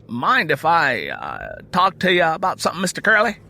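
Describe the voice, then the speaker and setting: Man acting earnest Southern young suitor, high-pitched. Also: vocal fry, heavily acted. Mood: nervous, respectful. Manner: slow, steady, respectful, folksy dialect. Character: earnest young rural churchman suitor, twenty-seven, polite but determined.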